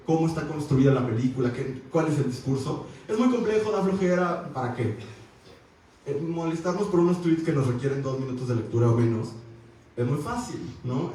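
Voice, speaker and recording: Animated Mexican man in an echoey hall. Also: fairly high pitch, recorded from a distance, a little hiss or hum. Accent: Mexican Spanish. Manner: animated, wry, conversational with frequent fillers. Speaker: panelist. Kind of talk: university panel discussion. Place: university auditorium.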